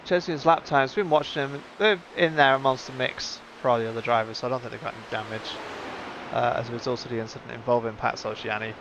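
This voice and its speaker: A man's voice: medium pitch, steady tone, fast talker. A man hosting a live sim racing stream with casual, conversational commentary, thinking aloud as he goes. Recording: muffled lo-fi audio with background noise.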